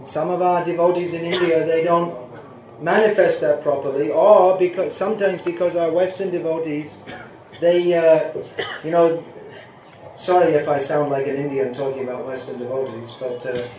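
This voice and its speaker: Older man, spiritual teacher, casual Q&A, rambling, slightly high voice, echoey room, faint hiss. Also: level tone, normal speed, mellow. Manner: rambling, self-interrupting, chuckles at times. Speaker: spiritual teacher answering questions. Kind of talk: informal question-and-answer talk.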